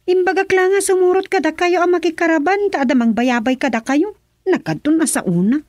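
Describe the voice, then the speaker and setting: A woman playing a young wife who is worried and sharp-tongued, nagging her husband with emphatic speech. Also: very high voice, lively intonation, a little fast, no echo, clean studio sound. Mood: sulking. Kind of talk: radio drama acting.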